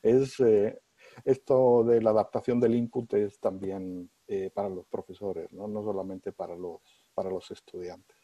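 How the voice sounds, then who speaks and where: A man from Spain with a husky, darkish voice explains ideas at an easy conversational pace. He often pauses and restarts his sentences. The sound is close and dry, with no room. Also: on the low side, fairly even intonation, warm tone, no room sound, rough recording. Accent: neutralized Spanish, mixing Spain and Mexico. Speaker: interviewed professor. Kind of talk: relaxed conversational interview.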